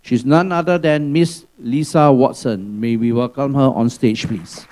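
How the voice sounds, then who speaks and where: A man's voice: mid-range voice, measured pace, darkish tone. A man as emcee in a large auditorium, formally reading out a speaker's introduction. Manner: formal, announcing.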